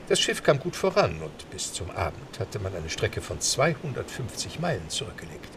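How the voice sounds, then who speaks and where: Animated, gravelly male storyteller. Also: fairly low pitch, recorded from a distance, background noise. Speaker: narrator. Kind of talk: scripted dramatic narration of adventure story.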